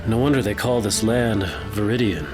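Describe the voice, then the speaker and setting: A man's voice: lowish voice, steady tone, normal speed, lightly acted. An actor playing a good-natured male adventurer full of wonder in a fantasy radio play. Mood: wistful, awed. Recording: music bed under the voice.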